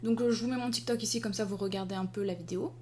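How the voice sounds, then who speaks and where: A young woman from France, an artist, chatting casually to her viewers in an exasperated, lively way full of fillers. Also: medium pitch, rapid-fire, a little hiss or hum. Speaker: artist and video creator. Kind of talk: casual chat to the camera.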